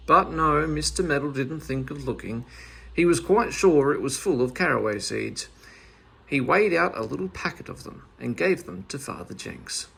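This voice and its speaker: A man narrating a children's story aloud in an expressive storyteller's manner. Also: a little high, creaky, husky.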